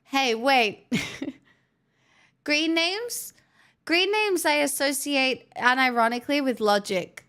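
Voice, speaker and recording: A woman's voice: sits high, animated, takes it slowly, fairly bright tone. A woman hosting a livestream, chatting casually with viewers in a playful, teasing way. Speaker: livestream host.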